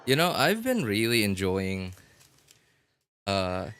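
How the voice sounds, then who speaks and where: A man's voice: fairly low pitch, huge pitch swings, slow pace, a bit bright, clean tone. A man casually commentating on a game as he streams it.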